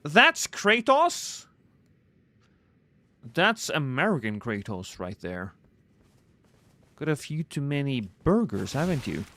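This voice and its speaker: Norwegian male streamer, fairly high voice, joking chat banter with loud bursts at the game. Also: wildly swooping pitch, slow pace, pauses often. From Norway. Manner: reacts loudly to in-game action. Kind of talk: casual live gameplay stream chat.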